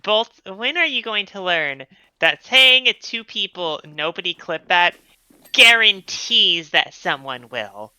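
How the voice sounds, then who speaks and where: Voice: medium pitch, very animated, takes it slowly, bright, forceful tone, smooth, no room sound, rough recording. A co-host on a casual gaming stream, trading dry, teasing banter.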